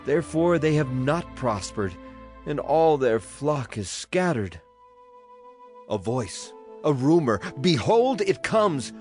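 Solemn male Bible narrator, measured delivery, light music underneath. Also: medium pitch, expressive, darkish tone. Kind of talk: dramatized scripture reading.

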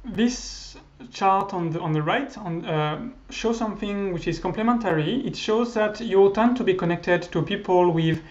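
A man's voice: sits high, normal speed, few pauses. Hesitant French man lecturing on slides. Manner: hesitant, many fillers and restarts. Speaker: university lecturer. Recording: slightly dull lo-fi audio.

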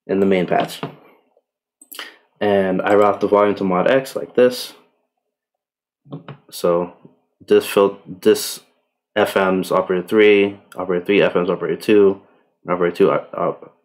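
A man giving a casual software tutorial in a relaxed, unhurried way with tentative asides. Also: sits low. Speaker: tutorial presenter. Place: indoors, voice-over on screen recording.

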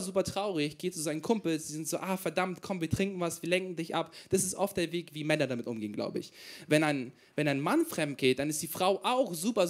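High-voiced young man doing stand-up. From Ukraine. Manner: chatty, self-mocking, storytelling with acted-out quotes. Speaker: stand-up comedian. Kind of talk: stand-up comedy set. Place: small crowded room on a stage. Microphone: handheld mic.

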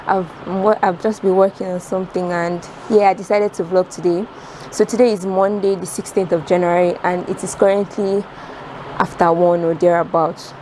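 A woman's voice: on the low side, mellow, poor audio. A young woman with a Nigerian accent chatting casually and directly to her viewers in a vlog, recorded at arm's length. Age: young adult. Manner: informal, addresses viewers directly. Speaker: vlogger, student. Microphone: camera at arm's length. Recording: background noise.

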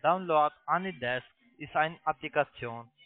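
Halting foreign-accented man on a phone line. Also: fairly high pitch. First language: not German. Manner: halting, broken German with repeated restarts. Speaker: phone sales agent, investment scam caller. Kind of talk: sales pitch on a phone call. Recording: tinny narrow phone line.

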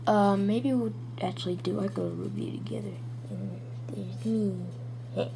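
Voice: medium pitch, steady tone, measured pace, creaky. A young person, child or teen, giving a casual, rambling gadget review into a handheld camera at home. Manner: informal, rambling. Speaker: video reviewer. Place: small room at home, indoors. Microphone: handheld camera's built-in mic. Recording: noisy handheld camera audio with clicks.

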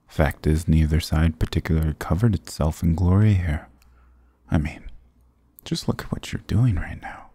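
A man's voice: sits low, mellow, breathy edge, no room sound, clean studio sound, exaggerated voice. A man voicing a sarcastic, dominant demon king in an ASMR roleplay, with a taunting, condescending, theatrical delivery. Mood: sly, mocking.

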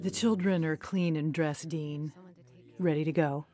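A woman's voice: deep voice, steady tone, unhurried, over music. A woman playing a kindly, solicitous neighbor in a radio drama. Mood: gentle, sympathetic.

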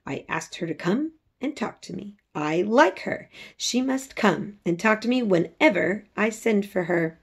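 A woman's voice: mid-range voice, animated, unhurried, hardly any pauses, husky. A woman voicing an imperious, self-assured sickly ten-year-old boy in a storybook, giving orders in a commanding, unbothered way.

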